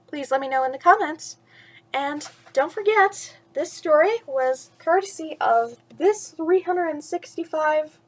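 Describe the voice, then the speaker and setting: A woman chatting casually as a storyteller who hosts children's bedtime story readings, with an expressive way of talking. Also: sits high. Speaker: storyteller, channel host.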